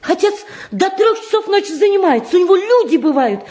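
An anxious middle-aged mother in a vintage Soviet radio play. Her voice is high and very animated. She fusses and pleads, repeating herself in agitation. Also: brisk. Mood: anxious, agitated. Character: anxious, fretful mother and housewife, fussing over her sons. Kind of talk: mid-century Soviet radio-play acting.

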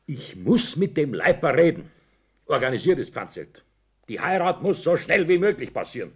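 Animated Bavarian businessman, scheming and hearty. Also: fairly high pitch, moderate pace, breathy edge, heavily acted. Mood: worried, determined. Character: jovial, scheming businessman father guarding his reputation. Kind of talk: 1960s German radio play acting.

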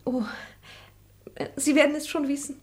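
An eager, pert young daughter coaxing her papa, as an actress plays her. Her voice is notably high. A radio play role. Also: little pitch movement, normal speed, lightly acted.

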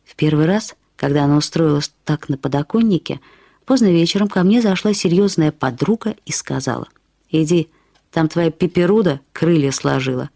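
A woman reading literary prose as a radio storyteller, in an expressive, reflective, measured way. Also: deep voice, gravelly, husky, no room sound. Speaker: narrator of a short story. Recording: studio radio production with music. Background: music.

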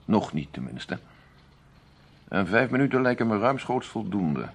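A low, husky, imperious major. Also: male voice, very animated, exaggerated voice. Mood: drily amused. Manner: courteous, measured, condescending. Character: suave, imperious army major, drily amused and insistent. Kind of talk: radio drama acting.

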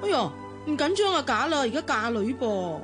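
An actress with a Hong Kong Cantonese accent playing a middle-aged, fussy, excitable mother of the bride in a radio drama. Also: high voice, moderate pace, music in the background. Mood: flustered. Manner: fluttery, fussing, exclaiming.

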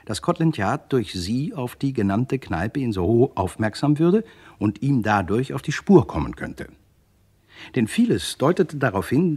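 An actor playing an urbane, wry man who recounts his own case in measured, polite first-person narration for a 1960s German radio play. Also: mid-range voice, slightly rough.

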